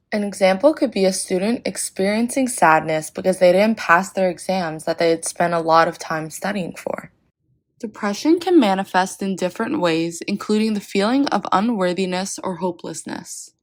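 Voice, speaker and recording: A teenage girl in high school narrating a scripted educational presentation in a steady, read-aloud way. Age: teens. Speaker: student presenter.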